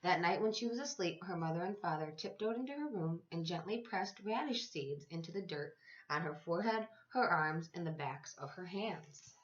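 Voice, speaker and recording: A woman reading a story aloud to young children like a teacher, with expressive, deliberate phrasing. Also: on the low side. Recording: echoey room, slightly dull sound.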